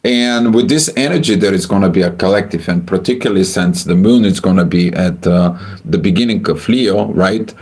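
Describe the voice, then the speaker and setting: A man from Bulgaria, hosting a livestream astrology forecast in a halting way with many filler sounds. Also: low voice, a little mellow.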